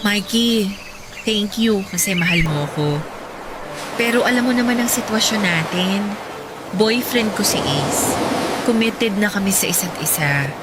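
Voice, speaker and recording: A woman's voice: mid-range voice, expressive, unhurried, pauses often, very noisy, close to an everyday voice. A woman acting as Jade, a frank, understanding, mature young woman in a Tagalog radio drama, with a Filipino accent and a reassuring manner. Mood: gentle, frank. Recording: music behind the voice.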